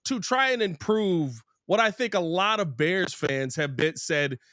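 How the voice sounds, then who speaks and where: Casting: American male sports podcast host, slightly high register, casual, emphatic, rhetorical questions, very dry recording. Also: lively intonation, a little slow, bright, forceful tone, dry recording. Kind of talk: casual opinionated sports chat.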